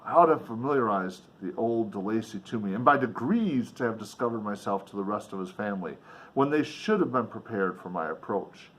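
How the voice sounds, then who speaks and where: A man's voice: on the low side, lively intonation, brisk, fairly bright tone, low-quality recording. A man reading a novel aloud in a dramatic, emphatic way, with casual offhand commentary along the way. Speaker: reader and commentator.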